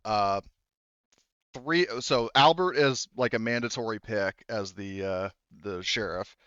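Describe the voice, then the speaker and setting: A man with a low, smooth voice works as a tabletop game master. He explains in a halting, conversational way, trailing into asides as he thinks aloud. The sound is close and dry, slightly dull on a lo-fi microphone. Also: a bit bright, no room sound.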